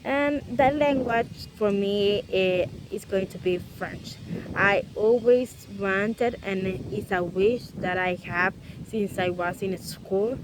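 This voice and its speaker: Slow-talking Salvadoran woman vlogger. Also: mid-range voice, noisy background. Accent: Salvadoran Spanish. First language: Spanish. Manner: earnest, repetitive, with many pauses and "eh" fillers. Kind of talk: casual vlog to camera.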